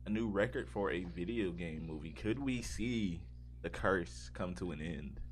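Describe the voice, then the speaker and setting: A man's voice: low voice, a little slow. A man co-hosting a podcast, chatting casually and informally about video games. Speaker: podcast co-host. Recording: audible hum and background noise.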